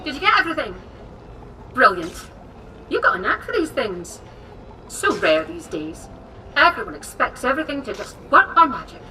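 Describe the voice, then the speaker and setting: A haughty, eccentric English inventor for a video game. Her voice is high, very bright and animated, theatrical and breezily condescending. She sounds some way from the mic. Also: moderate pace, long pauses, soft background music, heavily acted. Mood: brisk, pleased. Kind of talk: video game cutscene acting. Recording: distant from mic, faint noise.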